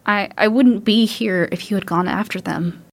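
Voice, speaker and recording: An actress playing a tough, loving young daughter surviving an apocalypse in an audio drama, speaking frankly and reassuringly. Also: female voice, medium pitch, normal speed, vocal fry, a little hiss or hum, close to an everyday voice. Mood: consoling, sad.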